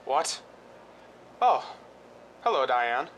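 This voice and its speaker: Actor as a restless, swooping-voiced scheming husband. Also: male voice, mid-range voice, sing-song, drawn-out words, fairly bright tone, slight background noise, heavily acted, rough recording. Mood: tense, conspiratorial. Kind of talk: radio drama acting.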